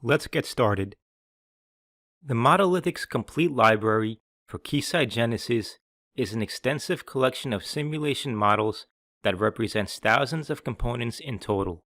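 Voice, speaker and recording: A man reads scripted instructional narration as the presenter of a technical tutorial. His pitch sits in the middle of the male range, and he explains things in an orderly way. The sound is dry, with no room echo. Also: normal speed, fairly bright tone.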